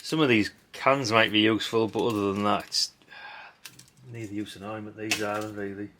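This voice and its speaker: A man's voice: fairly low pitch, little pitch movement, fairly quick, noisy background. A middle-aged man, giving casual, unscripted commentary in a chatty, rambling, blunt way. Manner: chatty, rambling, blunt mild swearing, tag questions. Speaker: hobbyist video host. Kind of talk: casual unscripted commentary while sorting old parts.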